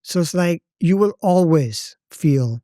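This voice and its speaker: A Pakistani-accented man in his thirties speaks as a podcast guest, his voice mellow and close in a dead, dry space. He talks in a reflective, halting way, often stopping to rephrase. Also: on the high side, animated, dry recording. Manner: thoughtful, halting, circles back to rephrase. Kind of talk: reflective personal storytelling.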